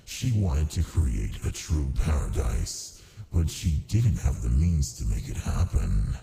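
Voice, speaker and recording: A man playing a haughty, seductive ancient archdemon in an ASMR roleplay, with a gravelly, languid, condescending delivery. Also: rumbling low, dark tone, a little hiss or hum, exaggerated voice.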